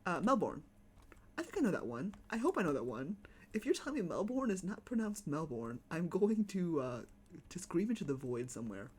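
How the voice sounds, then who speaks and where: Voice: medium pitch, big pitch swings, fairly quick, breathy edge. A streamer with a Chicago accent, chatting casually and rambling while playing a game at home. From United States. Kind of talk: casual live-stream chat while gaming.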